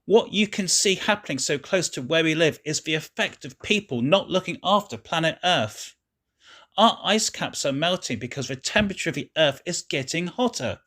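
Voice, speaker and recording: A man's voice: on the high side, expressive, normal speed, a bit bright. A man voicing a worried scientist father in a children's story, earnest and explaining with urgency. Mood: earnest, urgent. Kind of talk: storybook character reading.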